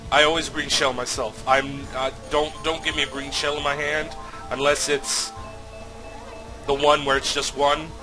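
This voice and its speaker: A man commentating his own racing game playthrough, slightly sick and nasal, swearing often with sudden loud outbursts. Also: on the high side, flat delivery, normal speed, music in the background, poor audio. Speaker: let's play host. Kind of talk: casual gameplay commentary.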